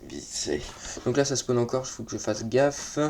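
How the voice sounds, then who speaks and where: A man's voice: medium pitch, sing-song, normal speed, darkish tone. A man with a slightly stuffy, congested voice giving casual, hesitant gameplay commentary, often muttering to himself. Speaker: let's play host. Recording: noisy mic with keyboard clicks.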